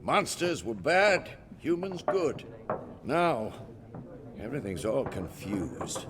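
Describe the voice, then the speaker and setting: An actor playing an old, grizzled male warrior mentor in a video game, slow, wry and reminiscing, with a gravelly voice. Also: mid-range voice, sing-song, fairly bright tone. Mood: wistful. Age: older.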